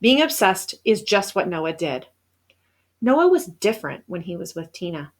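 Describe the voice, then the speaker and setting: A woman reading a children's novel aloud as scripted narration, with expressive storytelling emphasis. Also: fairly low pitch, a little slow. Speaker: storybook reader.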